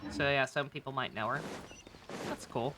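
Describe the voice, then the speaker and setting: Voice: medium pitch, normal speed, background noise. A game streamer giving casual, rambling, jokey livestream commentary and laughing easily. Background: video game music.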